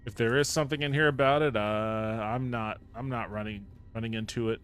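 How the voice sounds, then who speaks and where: An upbeat male streamer at a middle pitch, talking casually while he plays. He greets and thanks viewers by name and swears casually. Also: steady tone, brisk, fairly bright tone, a little hiss or hum. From Japan. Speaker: livestreamer. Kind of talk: casual live-stream gameplay chat.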